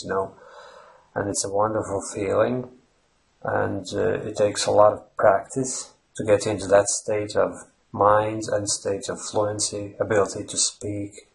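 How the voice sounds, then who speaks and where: A man's voice: lowish voice, creaky, poor audio. A man from Latvia with a Latvian accent, hosting a language-learning channel and explaining at length in a rambling way with fillers and restarts. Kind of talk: unscripted explanatory monologue. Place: Ireland.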